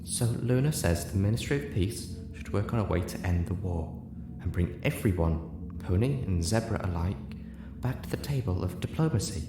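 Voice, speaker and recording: Storybook pegasus mare, played by a male reader: timid, earnest, hushed and breathy, faltering delivery. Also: sits low, animated, normal speed, reverberant, over music. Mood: sad, earnest. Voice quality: soft, breathy. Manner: hesitant, timid. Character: shy, gentle, earnest female pegasus pony.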